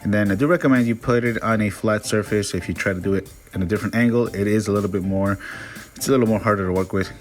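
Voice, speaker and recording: A man's voice: fairly low pitch, quick pace, soft background music. Upbeat man from Peru, casual cooking vlog. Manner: upbeat, chatty, self-joking. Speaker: cooking vlogger.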